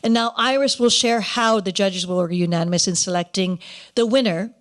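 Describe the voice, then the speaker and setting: A woman with a mid-range voice emcees an awards ceremony before a live gathering. Her delivery is partly off the cuff, animated and playful, moving between formal announcements and loose asides. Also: medium pitch, moderate pace. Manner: drops Japanese phrases into English.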